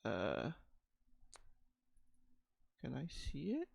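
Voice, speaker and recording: A woman's voice: very deep voice, huge pitch swings, drawn-out words, creaky, darkish tone, breathy edge, no echo, clean studio sound. A woman chatting casually on a livestream, giggly and self-deprecating. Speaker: streamer.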